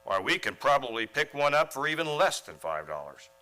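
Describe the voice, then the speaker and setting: A man playing an earnest, animated, oblivious schoolteacher. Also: medium pitch, a little fast. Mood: eager. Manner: earnest and eager, naive. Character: earnest, oblivious, mild-mannered male schoolteacher. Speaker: actor in a radio play. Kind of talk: comedic radio play acting.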